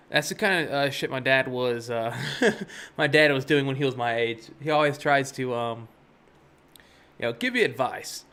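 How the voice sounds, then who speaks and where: A young man hosts a day trading live stream, talking casually into a desk mic. He rambles and drifts into asides, leaning on fillers as he goes. His voice sits in an ordinary middle pitch. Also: fairly bright tone, clean tone. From Miami, USA. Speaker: day trading livestream host.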